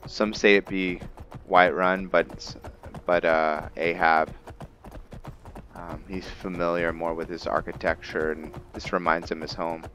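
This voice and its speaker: A man giving unscripted roleplay commentary over a video game, halting and deliberate, with long pauses. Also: deep voice, flat delivery, sharp tone. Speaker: gamer hosting a roleplay playthrough.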